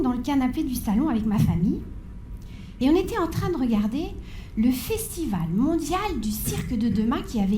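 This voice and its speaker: A woman's voice: high voice, darkish tone. A woman in her forties giving a stage talk, telling her life story with wry, dramatic pacing and playful asides to the audience. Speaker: conference speaker. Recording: echoey hall with faint background noise.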